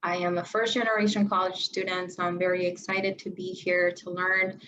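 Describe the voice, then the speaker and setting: A woman's voice: lowish voice, level tone, moderate pace, fairly bright tone, dull audio, a little hiss or hum. A young adult woman, a college student, introducing herself on a video panel, eager but slightly hesitant.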